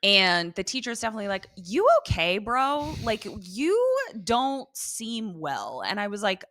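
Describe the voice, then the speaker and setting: A woman co-hosting a podcast, casually recapping a TV episode in slangy, sweary, animated storytelling. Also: medium pitch, measured pace, no echo, clean studio sound. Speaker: podcast co-host.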